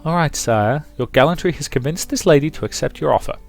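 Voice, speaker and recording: Man doing a teasing young noblewoman, raised pitch. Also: lively intonation, quick pace, vocal fry, a little mellow, faint music behind. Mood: playfully bossy. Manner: mock-stern, teasing.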